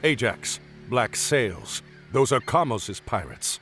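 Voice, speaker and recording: A blunt, battle-hardened warrior speaks with wry confidence, his pitch moving freely. Soft music runs under him. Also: big pitch swings, takes it slowly. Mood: watchful.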